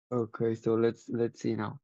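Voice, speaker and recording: A man talks slowly and evenly, reading things out at a careful pace and laughing often. His voice is mellow and dry, with no room sound. The video call audio is dull and lo-fi. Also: medium pitch, no room sound. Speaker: Polish language teacher and podcast host. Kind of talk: casual chat on a video call, sharing his screen. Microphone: video call microphone.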